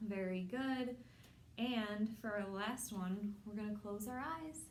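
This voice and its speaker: A woman hosting a children's storytime, reading a picture book aloud in simple, measured phrases addressed to young children. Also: mid-range voice, a little hiss or hum. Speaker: children's storytime host at a public library.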